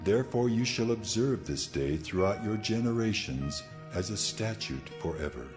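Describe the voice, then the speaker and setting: A man's voice: lowish voice, expressive, moderate pace, over music, close to an everyday voice. A man playing God in a dramatized scripture reading, solemn and authoritative as he declares commands. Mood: solemn, commanding. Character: God speaking laws, solemn commanding male deity.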